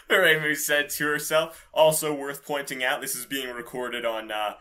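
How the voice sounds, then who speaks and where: A man's voice: mid-range voice, flat delivery, unhurried, bright, forceful tone. A man co-hosting a podcast, reading comic fan fiction with giggly, irreverent banter. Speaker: podcast co-host.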